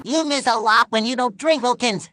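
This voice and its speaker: A man voicing a chirpy, pushy cartoon sea sponge pitchman in a commercial skit. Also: moderate pace, over loud music. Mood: pushy, upbeat.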